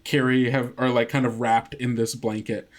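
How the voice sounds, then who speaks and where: A man with a mid-pitched voice runs a tabletop role-playing game. He narrates scenes off the cuff, conversationally, feeling his way through phrases and repeating himself. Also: little pitch movement, moderate pace. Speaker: game master.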